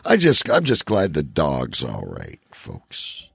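A man with a gravelly, husky voice, bantering irreverently and sarcastically as a sweary comedy podcast co-host. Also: rumbling low, huge pitch swings, takes it slowly, dry recording, studio quality. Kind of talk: irreverent casual banter about odd news.

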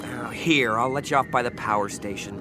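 A man's voice: medium pitch, brisk, over music, close to an everyday voice. An actor in a radio drama playing a frustrated but dutiful young farm boy. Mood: hurt, defensive.

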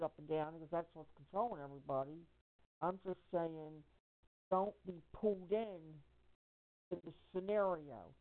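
Low-voiced woman on a tinny phone line. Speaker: caller on a conference call. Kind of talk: impassioned personal testimony. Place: telephone conference call.

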